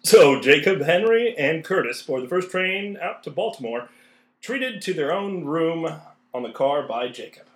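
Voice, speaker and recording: Male podcast narrator, comic commentary. Lively intonation, roomy recording. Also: fairly high pitch, a little slow. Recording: roomy, echoing room sound.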